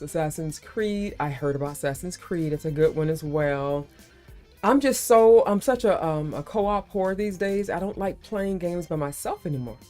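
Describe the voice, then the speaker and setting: Low-pitched woman host, breezy and chatty, faint music underneath. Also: a little mellow. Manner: chatty, playful, calls others baby. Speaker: livestream host. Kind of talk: casual livestream chat.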